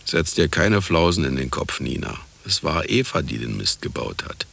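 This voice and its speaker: An actor playing a cynical, self-justifying scientist and husband in a radio play, sardonic and condescending, with a gravelly voice. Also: male voice, a bass voice, animated, normal speed, noisy background, heavily acted. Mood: sardonic, agitated. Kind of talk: radio play acting, monologue and dialogue.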